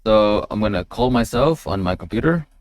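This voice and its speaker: A man talking casually on a live stream as a developer, in a halting way, often repeating and restarting his phrases. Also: on the low side, expressive, no room sound, clean studio sound. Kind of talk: casual live stream talk about a coding project.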